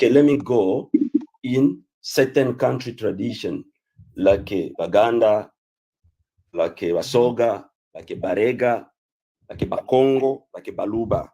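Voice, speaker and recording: Halting, husky-voiced man with dark tone. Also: mid-range voice, low-quality recording. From Central Africa (Uganda/Congo roots). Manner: halting, repeats fillers, lists examples one by one. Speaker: livestream guest. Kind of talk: heated livestream debate.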